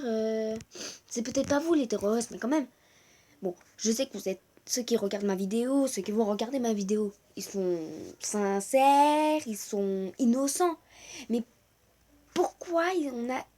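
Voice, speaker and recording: A woman's voice: high voice, dark tone. A teenage girl from France speaking earnestly to her viewers in a personal video, hesitant and full of fillers and restarts. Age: teens. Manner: earnest, growing indignant. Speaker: vlogger.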